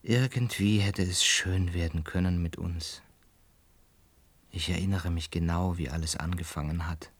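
Low-voiced, haunted lonely man. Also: steady tone, husky, heavily acted. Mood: haunted, brooding. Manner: halting, brooding, swinging from murmurs to anguished cries. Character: lonely, distraught male lodger recording a last cassette. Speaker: actor narrating in a radio play. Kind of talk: dramatic radio play monologue.

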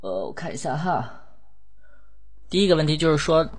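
A man's voice: a little high, very animated, moderate pace. A man casually hosting a live question-and-answer session in a playful, rambling way. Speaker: host and lead voice actor of an audio drama.

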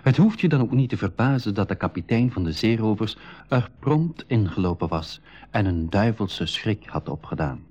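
Husky, low-voiced storyteller. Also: male voice, lively intonation, moderate pace, darkish tone. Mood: storytelling. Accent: Flemish. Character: storytelling adventurer addressing young listeners. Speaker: narrator. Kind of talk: scripted radio play narration for children. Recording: dull, band-limited 1980s radio recording.

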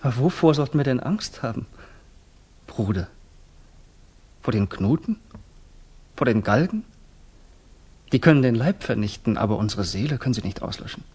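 Radio drama casting: idealistic young Russian revolutionary, husky mid-pitched voice, earnest, trusting, fervent. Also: male voice, lively intonation, normal speed, long pauses, a little mellow. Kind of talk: 1960s German radio drama acting.

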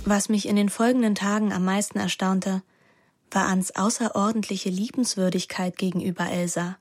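An actress playing a sensual, carefree teenage daughter, narrating intimately and with measured calm in a radio drama. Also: female voice, mid-range voice, natural voice. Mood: reflective, intimate.

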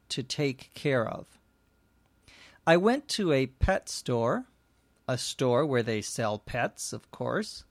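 Slow, deliberate male English teacher. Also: on the high side, no room sound. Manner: slow, clear, deliberate teaching delivery with dry jokes. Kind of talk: scripted story and slow vocabulary explanation.

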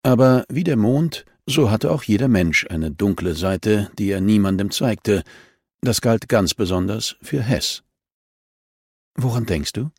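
A man's voice: fairly low pitch, a little mellow, no echo, studio quality. A man narrating a crime novel as a scripted audiobook reading.

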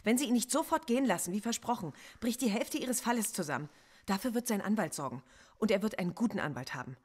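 An actress playing a philosophy professor under interrogation in a radio play, answering in short, cool, guarded replies. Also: female voice, medium pitch, lively intonation, quick pace, a little mellow, breathy edge. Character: composed female philosophy professor, stubborn and proud. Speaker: suspect in interrogation.

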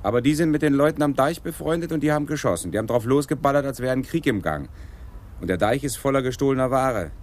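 A man's voice: medium pitch, lively intonation, fast talker, darkish tone, a little hiss or hum, natural voice. A man playing a probing, plain-spoken police brigadier in a radio crime drama. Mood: insistent. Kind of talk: 1980s German radio play acting.

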